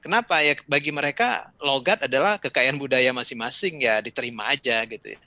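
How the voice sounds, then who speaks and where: A middle-aged man from Indonesia talks easily and conversationally, with a bright, forceful tone. The sound is dry and close, as on a video call. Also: medium pitch, brisk, no room sound. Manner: relaxed, conversational, with frequent fillers and asides. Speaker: speaker answering questions in an online session. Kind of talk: casual talk and advice.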